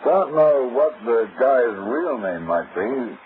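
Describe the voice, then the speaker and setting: An actor playing a wisecracking, sly hardboiled private detective in an old-time radio drama, wry and teasing. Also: male voice, medium pitch, very animated, slow pace. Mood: wry, cagey. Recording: tinny, hissy old radio recording.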